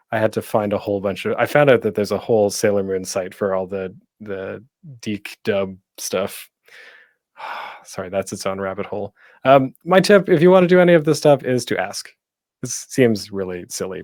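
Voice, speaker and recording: Male presenter, medium pitch, informal and conversational tips delivery, dry recording. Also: darkish tone, no echo. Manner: conversational, thinking aloud. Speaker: panel host and podcaster giving tips. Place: at home, online panel.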